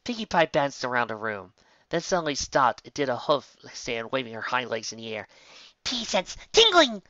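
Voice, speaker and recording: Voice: mid-range voice, a little fast, a bit bright, no echo, highs cut off. A narrator reading a fan fiction story in a scripted storytelling style.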